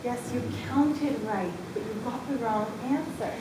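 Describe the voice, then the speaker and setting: A woman's voice: measured pace, lightly acted. A woman playing a strict, exasperated schoolteacher in an amateur readers' theatre piece, firm and corrective. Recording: distant, very echoey room, noisy with rumble.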